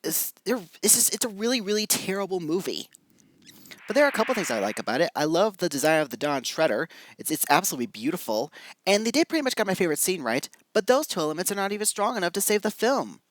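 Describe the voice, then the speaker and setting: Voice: medium pitch, big pitch swings, quick pace. A reviewer giving casual, opinionated commentary, ranking things and repeating words for emphasis. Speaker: amateur film and audio drama reviewer.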